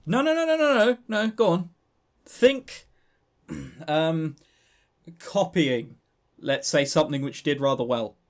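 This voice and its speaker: A man with a southern English accent co-hosting a casual gaming news chat, animated, sardonic and sweary in manner. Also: on the high side, takes it slowly, pauses often. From southern England. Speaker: podcast co-host.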